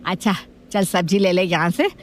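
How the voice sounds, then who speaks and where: A woman playing a doting, gullible grandmother with a homely, indulgent manner in an animated family story. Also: fairly low pitch, normal speed, slight background noise, natural voice. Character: kindly, gullible, doting grandmother and mother-in-law.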